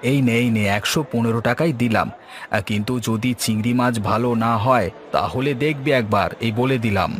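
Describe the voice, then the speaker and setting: A man's voice: medium pitch, brisk, slight background noise, lightly acted. A man voicing a good-natured village farmer and doting father in a cartoon, folksy and full of exclamations. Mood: cheerful, hearty. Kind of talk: cartoon dialogue.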